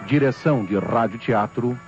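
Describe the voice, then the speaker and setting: A man with a Brazilian accent reading scripted announcements as an old-time radio announcer, formal, emphatic and measured. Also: medium pitch. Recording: dull vintage radio recording, music behind.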